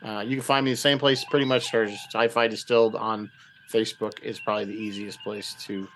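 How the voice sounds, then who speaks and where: A man's voice: lowish voice, little pitch movement, a little fast, a bit bright, a little hiss or hum. A man chatting casually as a podcaster guest, in a loose, offhand way. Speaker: podcast creator and host, guest. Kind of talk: casual chat.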